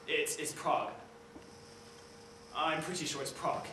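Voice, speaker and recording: A man's voice: on the high side, little pitch movement, a little slow, sharp tone, heavy reverb, recorded from a distance, a little hiss or hum, low-quality recording. A man playing a flustered, stammering lover. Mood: apologetic. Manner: rambling, hesitant, stammering. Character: flustered apologetic ex-lover on the phone.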